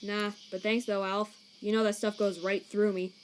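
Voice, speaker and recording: A woman's voice: medium pitch, level tone, moderate pace, a little hiss or hum, natural voice. Woman acting a male skeleton monster, laid-back punster, wry and casual, fan comic dub, night insects in the background. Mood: laid-back, evasive. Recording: chirping crickets and night ambience behind.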